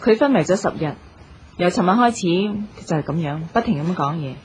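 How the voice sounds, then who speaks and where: An actress playing a calm hospital doctor, explaining things in a measured, reassuring way in a radio drama. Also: female voice, lowish voice, slight background noise, close to an everyday voice. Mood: puzzled, explaining. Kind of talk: Cantonese radio drama acting. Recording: dull radio drama recording, music behind.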